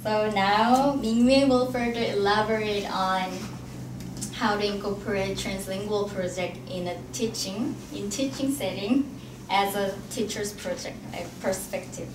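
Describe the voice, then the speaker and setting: A young woman from South Korea, a native Korean speaker with a Korean accent, giving a careful, halting academic presentation as a graduate student. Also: mid-range voice, steady tone. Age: young adult. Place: indoor room at an academic forum. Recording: echoey, noisy room, distant mic.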